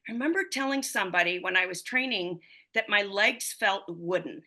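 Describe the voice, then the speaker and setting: A woman's voice: medium pitch, fairly quick, bright, forceful tone. A woman in her fifties, a running coach and physical therapist, telling her story as a podcast guest in a loose, rambling way full of fillers. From United States. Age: 50s. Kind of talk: conversational podcast interview.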